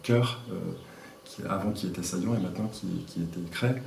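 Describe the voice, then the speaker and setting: A man answering interview questions as a village mayor, speaking informally and haltingly as he searches for words. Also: low voice, darkish tone. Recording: echoey room, distant mic, faint noise.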